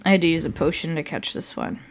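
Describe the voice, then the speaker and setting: A woman's voice: deep voice, expressive, fast talker. A woman co-hosting a podcast in casual chat, rambling and laughing often as she talks. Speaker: podcast co-host.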